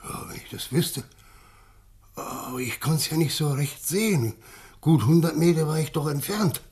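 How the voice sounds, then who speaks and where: An actor in a German radio play, playing a shaken, tipsy village man who tells of an uncanny sighting in a halting, insistent way. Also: on the high side, expressive, a little mellow, close to an everyday voice. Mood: shaken, awed. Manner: halting, stammering, insistent eyewitness telling.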